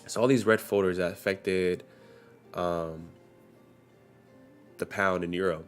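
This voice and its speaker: A young man with a low voice talks through a live trading stream while getting over a cold, coughing now and then. His delivery stays level and unhurried as he reads market headlines aloud. Faint music plays underneath. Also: fairly even intonation. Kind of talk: casual live-stream commentary.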